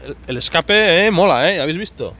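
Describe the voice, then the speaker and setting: A man's voice: fairly high pitch, expressive, brisk, sharp tone. A man, giving chatty, colloquial vlog commentary outdoors. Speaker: motorcycle vlogger. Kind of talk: casual off-the-cuff vlog commentary. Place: outdoors in a street parking area. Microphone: handheld phone or camera, speaker behind it. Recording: noisy outdoor urban street.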